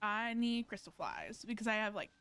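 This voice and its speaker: A woman's voice: mid-range voice, lively intonation, normal speed, fairly bright tone, clean tone. A woman giving casual live-stream commentary on a game, rambling and thinking aloud as she plays.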